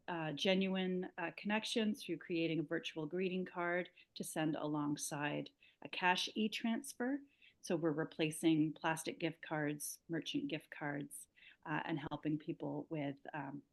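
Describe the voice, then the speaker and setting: A woman startup founder introducing herself on a video call, with a quiet, soft voice. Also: deep voice.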